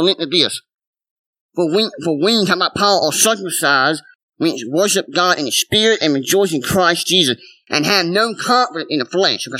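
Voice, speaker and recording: A man's voice: sits high, sharp tone, no room sound, rough recording. A man preaching a conversational sermon as a pastor, asking rhetorical questions and urging listeners to stay with him.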